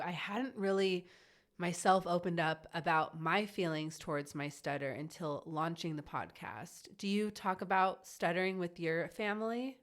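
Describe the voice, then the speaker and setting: A woman hosting a podcast, stuttering at times and filling her speech with many "like"s in a casual conversation. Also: lowish voice. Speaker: podcast host.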